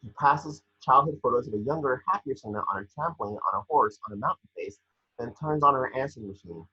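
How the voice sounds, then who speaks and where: A man's voice: mid-range voice, animated, fast talker, bright, forceful tone, husky. A man reading scripted scene descriptions as narrator, stumbling now and then. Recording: echoey, muffled home microphone.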